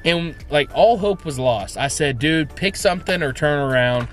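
A man in his thirties with a somewhat high voice talks straight to a handheld camera, first from a parked car and then a hotel room. He tells his story in an excited, rambling way. Faint music sits underneath. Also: moderate pace. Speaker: vlogger. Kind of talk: casual vlog. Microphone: handheld camera at arm's length.

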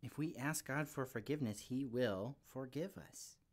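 A man's voice: medium pitch, moderate pace. A man voicing a friendly young puppet kid, speaking casually and earnestly as he gives a devotional for children. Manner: chatty, earnest, informal with frequent "like".

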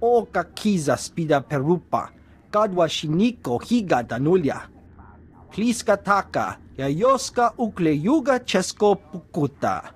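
An actor playing a male alien gangster in a video game, speaking an invented tongue in a raspy voice. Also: sits high, sing-song, measured pace, heavily acted. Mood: brusque. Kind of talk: video game cutscene acting.

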